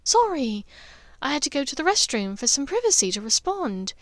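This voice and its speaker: A woman voicing an earnest young witch in an audiobook, brisk and explanatory. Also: high-pitched, very animated, dry recording, clean studio sound, voice pushed up. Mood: earnest, apologetic. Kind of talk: audiobook character dialogue.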